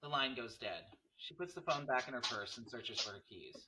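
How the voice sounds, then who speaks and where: A man's voice: mid-range voice, animated, moderate pace, bright, forceful tone, poor audio. A man reading stage directions as narrator in a scripted table read of a screenplay.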